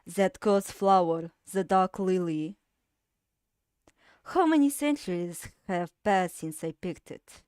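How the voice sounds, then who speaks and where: A woman with a Russian accent voicing an aged, lonely witch in a game, reading her lines slowly and quietly. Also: fairly low pitch, clear, resonant tone, no echo, clean studio sound, voice pushed down. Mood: wistful.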